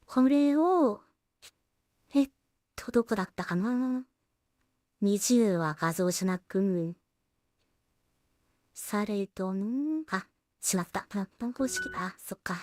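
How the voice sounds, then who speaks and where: A woman's voice: mid-range voice, expressive, slow, pauses often, darkish tone, dry recording. A woman casually talking to herself as she works, often mumbling her thoughts aloud as a solo creator on a stream. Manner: mumbling, thinking aloud while working. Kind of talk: casual work-along commentary, talking to herself.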